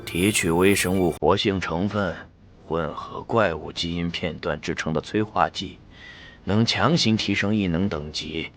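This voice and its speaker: An actor playing a fanatical, slippery mad scientist villain in an animated drama, with theatrical delivery. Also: male voice, sits low, unhurried. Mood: smug, gloating. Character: smug fanatical male mad scientist villain, cowardly when threatened. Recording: loud background music.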